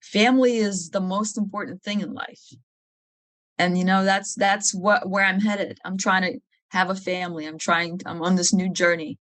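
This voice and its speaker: A woman's voice: lowish voice, fairly even intonation, moderate pace, fairly bright tone, no echo. A woman from Lithuania speaking frankly and informally as an interview guest, with a touch of humor. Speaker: podcast guest, army officer. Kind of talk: candid conversational interview.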